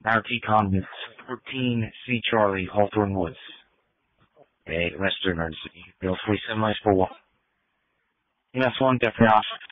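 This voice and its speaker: Man, emergency dispatcher, clipped formal delivery, lowish voice, two-way radio, dry sound. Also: moderate pace, pauses often, no echo. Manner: clipped, formal, repetitive phrasing. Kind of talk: scripted fire and EMS radio dispatch. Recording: phone-like fire radio transmission.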